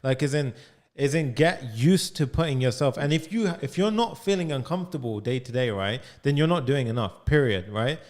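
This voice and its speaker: A young man leading a live coaching call from his home studio. He speaks close to a studio mic and talks with lively energy, filling his sentences with "like" and "right?". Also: mid-range voice. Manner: energetic, fast, full of "like" and "right?". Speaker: coding coach. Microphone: studio mic on boom arm, headphones.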